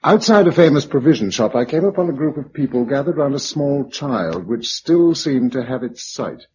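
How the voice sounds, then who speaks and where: A man's voice: medium pitch, big pitch swings, moderate pace, a little mellow, natural voice. An actor playing a sober, decent survivor with an English accent, narrating his story in a radio drama. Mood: grave, measured. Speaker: narrator and lead. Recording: dull, faintly noisy radio drama recording.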